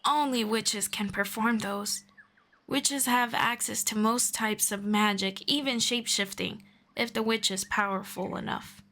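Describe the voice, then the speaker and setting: A woman voicing a patient, gently formal female elf friend in an ASMR roleplay, speaking softly and politely. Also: a little high, steady tone. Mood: calm, patient. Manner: soft, unhurried, politely formal.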